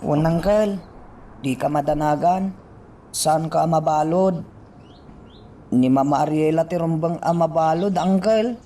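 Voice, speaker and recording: A man's voice: a little high, a little slow, pauses often, warm tone, a little hiss or hum, lightly acted. A man playing an earnest young son. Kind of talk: amateur radio drama acting.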